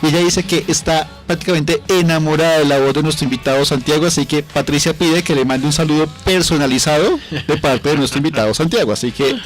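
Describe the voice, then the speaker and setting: A man's voice: a little high, fast talker, hardly any pauses, faint music behind. A man with a Colombian accent hosting a live radio interview. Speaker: radio host.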